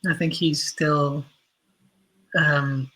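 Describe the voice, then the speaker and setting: A man's voice: a little high, little pitch movement, very slow, sharp tone, poor audio. A man answering casually as a podcast guest, thinking aloud in a hesitant, thoughtful way. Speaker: podcast guest, audio drama writer.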